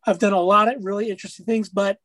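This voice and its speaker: A man with a raspy speaking voice, a musician guest on an interview, talking casually and rambling through stories with lots of fillers. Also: high-pitched, flat delivery, fast talker, sharp tone, no room sound, clean studio sound. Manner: rambling storyteller, many fillers.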